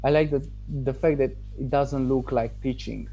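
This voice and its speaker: Mellow-voiced man, halting and thoughtful. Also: medium pitch, steady tone, soft background music, poor audio. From Romania. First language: Romanian. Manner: thoughtful, halting, with restarts and pauses. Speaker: student giving a testimonial. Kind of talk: casual interview answers.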